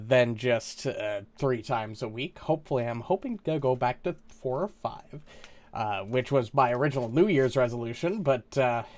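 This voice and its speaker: A man's voice: medium pitch, darkish tone. A man with a slight cold, chatting casually and hesitantly as a streamer, with lots of "uh"s. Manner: rambling, hesitant, many "uh"s. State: slight cold, a little congested. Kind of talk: casual live-stream chat.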